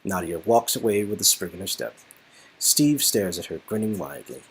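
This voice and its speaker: A man's voice: sits low, animated, moderate pace, slight background noise, poor audio. A man giving a casual, playful dramatic reading of a story, with colloquial ad-libbed asides. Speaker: amateur reader performing an interactive game's dialogue.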